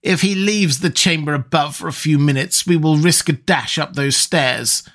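A man's voice: on the high side, normal speed, fairly bright tone, pitched above the performer's own voice. A man voicing a scheming middle-aged priest who is gripped by fear, with a raised, theatrical, urgent delivery.

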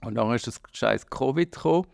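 A man's voice: mid-range voice, animated, a little fast, vocal fry, darkish tone, no room sound, clean studio sound. A man in his late forties with a Swiss German accent, a guest on a podcast, speaking hesitantly and thoughtfully with many fillers. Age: 40s. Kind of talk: casual chat.

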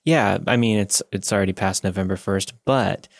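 A man's voice: low-pitched, moderate pace, gravelly, no echo, clean studio sound. A man co-hosting a podcast, chatting casually and telling personal anecdotes with chatty enthusiasm. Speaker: podcast co-host.

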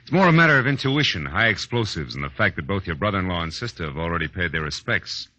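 An actor playing a tough, wisecracking private detective in a 1940s radio drama, sardonic, clipped and shrewd. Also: male voice, deep voice, lively intonation, fast talker, sharp tone, dry recording, heavily acted. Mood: wry, controlled. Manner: sardonic, hard-boiled, clipped. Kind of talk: 1940s radio detective acting, first-person narration. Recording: dull, dry vintage 1940s radio recording.

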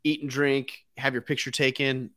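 Playful bright-voiced co-host man. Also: mid-range voice, little pitch movement, moderate pace, no echo. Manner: playful, teasing, hype-man energy. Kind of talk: casual livestream chat.

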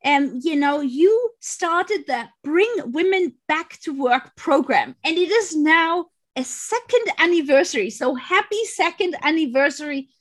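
A woman hosts an interview with a high voice and a slow pace. She sounds enthusiastic and fills her speech with "you know". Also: animated. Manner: enthusiastic, filler-heavy. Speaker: interviewer, host. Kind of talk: conversational interview.

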